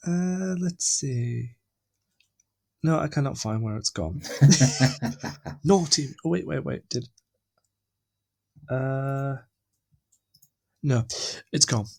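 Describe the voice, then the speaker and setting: A man from England co-hosting a casual gaming podcast, running low on sleep and rambling in an animated, self-deprecating way. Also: very slow, gravelly, long pauses. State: tired from lack of sleep. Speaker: podcast co-host.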